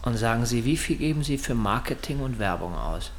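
A man's voice: mid-range voice, lively intonation, fairly quick, slight background noise, lightly acted. A man acting in a German radio drama as a probing questioner who puts short, insistent questions with a commanding edge. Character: probing male questioner pressing for frank answers.

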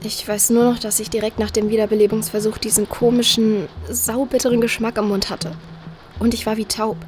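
A woman's voice: a little high, level tone, fairly quick, music in the background, lightly acted. Woman playing an informal, candid teenage girl. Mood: candid, chatty. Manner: informal, youthful. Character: candid, shaken teenage daughter turned podcaster. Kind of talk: audio drama acting, podcast chat.

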